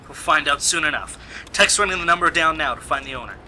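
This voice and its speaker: A man's voice: on the high side, fast, bright, forceful tone. Matter-of-fact male police sidekick acted by a man, noisy recording. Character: male police detective, dutiful sidekick reporting to lead. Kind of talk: amateur radio play acting. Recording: noisy amateur home recording.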